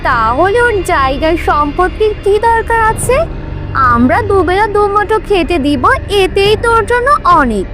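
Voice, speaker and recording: A greedy, callous young wife and schemer from a children's cartoon, played by a woman. Her voice is extremely high, and loud music runs behind it. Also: very animated, normal speed. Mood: scheming.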